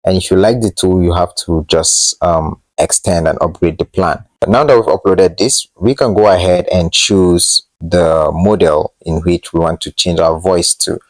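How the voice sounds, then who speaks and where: A man hosting a casual software tutorial, explaining in a loose, unscripted and slightly hesitant way. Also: deep voice, fairly even intonation, moderate pace, hardly any pauses, no echo. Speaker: host of a tech tutorial channel. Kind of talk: casual screen-share tutorial narration.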